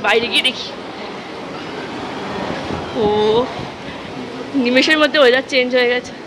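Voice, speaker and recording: A woman's voice: sits high, steady tone, normal speed, noisy background. A woman vlogger giving a chatty running commentary as family members arrive at an event. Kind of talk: casual vlog narration. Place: temple grounds, outdoors.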